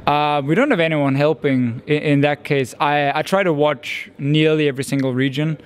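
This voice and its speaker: A man's voice: on the high side, steady tone, normal speed. A young man with a Danish accent, an esports caster answering interview questions in an earnest, thoughtful way. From Denmark. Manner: earnest, thoughtful, with frequent restarts and fillers.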